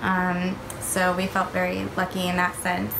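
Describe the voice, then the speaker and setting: A woman's voice: on the low side, completely flat delivery, measured pace. A woman recounting her own experience as a mother, in a candid, conversational way. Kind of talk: candid personal storytelling. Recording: echoey room with clear background noise.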